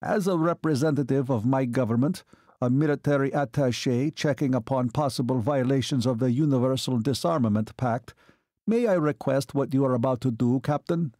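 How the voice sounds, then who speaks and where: A man voicing a suave, gently menacing Hungarian military attaché in a story, formal and deliberate. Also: mid-range voice, no room sound, studio quality, natural voice. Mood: formally probing.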